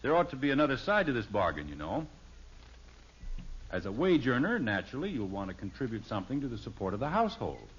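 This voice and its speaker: A man's voice: medium pitch, sing-song, quick pace, lightly acted. A man playing an exasperated middle-aged American father in a 1950s radio sitcom, blustery and dryly sarcastic. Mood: dry, teasing. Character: exasperated middle-aged father, short-tempered yet wry. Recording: dull, noisy old radio recording.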